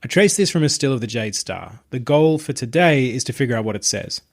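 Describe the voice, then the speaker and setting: A man's voice: mid-range voice, expressive, brisk, vocal fry. A man voicing an eager, persuasive young male archaeology student in an audiobook, with a keen, playful manner. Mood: keen, explanatory. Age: young adult. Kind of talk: audiobook character voice.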